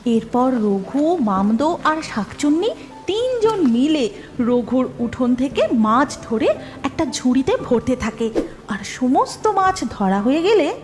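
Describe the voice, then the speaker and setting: A woman's voice: sits high, very animated, takes it slowly, a little mellow, faint music behind. A woman narrating a scripted cartoon story.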